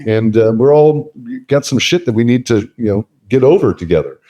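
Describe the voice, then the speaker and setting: A man's voice: medium pitch, lively intonation, moderate pace, highs cut off. A man talking as an interview guest, emphatic and blunt, swearing freely in casual talk. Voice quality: loud voice. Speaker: podcast guest, veteran business leader.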